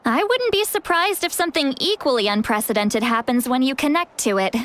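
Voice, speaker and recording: A woman's voice: high-pitched, expressive, a little fast, fairly bright tone. An actress voicing a cheeky, dramatic woman who is far older than she sounds, in a video game. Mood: teasing, confident. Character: ancient but youthful-sounding, dramatic, cheeky woman elder.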